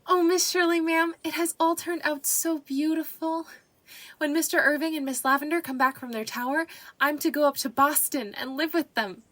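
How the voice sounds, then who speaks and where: An excitable fifteen-year-old servant girl, as a woman plays her in a dramatised storybook. She is high-pitched, folksy and deferential, gushing earnestly to her betters. The recording is dry and close. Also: moderate pace, no echo. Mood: gushing, thrilled. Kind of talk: dramatised audiobook acting.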